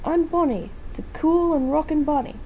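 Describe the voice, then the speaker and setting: A woman's voice: sits high, moderate pace, phone-quality sound, a little hiss or hum, voice pushed up. A woman voicing a happy, boastful animatronic bunny in an amateur fan fiction reading. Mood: happy, perky.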